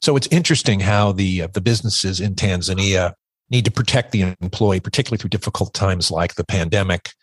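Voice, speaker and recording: Man, podcast host, low pitch, husky voice, friendly question-asker, dry close mic. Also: fairly quick, no room sound. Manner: friendly, conversational, prompting questions. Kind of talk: interview hosting.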